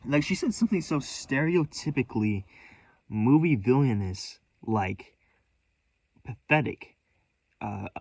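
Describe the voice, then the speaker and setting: A man's voice: medium pitch, animated, measured pace, gravelly, pauses often, slightly rough, no room sound. A man telling a rambling story in a vlog, profane and scattered, restarting sentences and commenting on his own spiraling thoughts. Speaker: vlogger.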